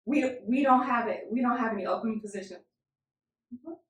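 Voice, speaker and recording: A woman co-hosting a podcast as a family therapist, telling stories in a lively way and laughing often. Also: fairly high pitch, moderate pace. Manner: animated storyteller, laughs often. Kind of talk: casual podcast chat. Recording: echoey room.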